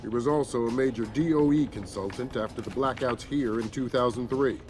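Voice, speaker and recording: An actor playing a stern, measured intelligence chief in a video game, businesslike and authoritative. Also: male voice, mid-range voice, music in the background, natural voice. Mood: diplomatic, firm.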